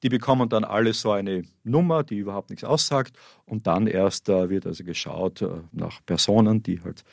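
A man's voice: lowish voice, moderate pace, vocal fry, few pauses, a little mellow, no echo, studio quality. A man with an Austrian accent giving explanatory answers as an expert guest in a radio interview. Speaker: interview guest, astrophysics professor. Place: small radio studio at a school. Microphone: studio microphone.

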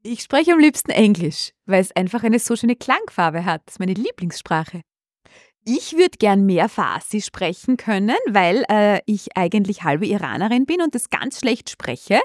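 A woman's voice: medium pitch, big pitch swings, moderate pace, no echo, studio quality. A woman, a mother, answering a street interview fluently and expressively. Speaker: passer-by interviewee.

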